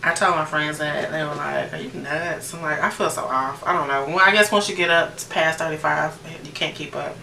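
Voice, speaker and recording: A woman's voice: low voice, fairly even intonation, a little fast, gravelly, hardly any pauses, sharp tone. An American woman in her late thirties chatting casually to viewers as she narrates a makeup tutorial in an informal way. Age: late 30s. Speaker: beauty vlogger. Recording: roomy, distant mic with noticeable background noise.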